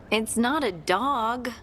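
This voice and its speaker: A woman playing an earnest, bubbly and awkward young adventurer in a fantasy video game, expressive and playful. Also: a little high, a little hiss or hum. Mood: awkward, skeptical. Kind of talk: naturalistic video game acting.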